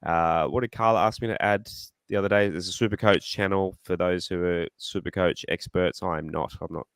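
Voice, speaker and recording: An Australian man hosting a casual fan podcast, rambling and excitable, his voice slightly croaky. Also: low-pitched, a little fast, no room sound. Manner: rambling, excitable, self-deprecating. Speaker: podcast host.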